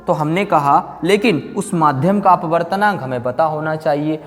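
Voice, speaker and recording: A male teacher lectures in a room with long, hall-like reverb. His voice sits a little high for a man. He speaks informally, throwing questions at students and then answering them himself, often repeating key phrases. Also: normal speed. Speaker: physics teacher. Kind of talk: exam-coaching classroom lecture.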